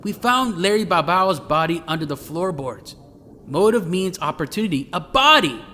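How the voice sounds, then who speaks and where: A man playing an eager, excitable police detective in an audio drama. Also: high-pitched, moderate pace. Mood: excited, insistent.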